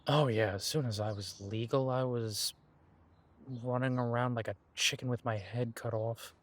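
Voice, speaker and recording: A young man voicing an easygoing werewolf man in an audio roleplay, talking to the listener in a relaxed, reminiscing way and chuckling often. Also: medium pitch, normal speed, lightly acted. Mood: reflective.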